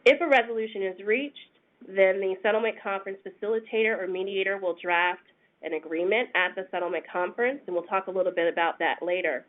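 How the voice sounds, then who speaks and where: A congested woman, a senior attorney, explaining a program in a webinar in a measured way with frequent pauses. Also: mid-range voice. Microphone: phone conference line. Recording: tinny phone line.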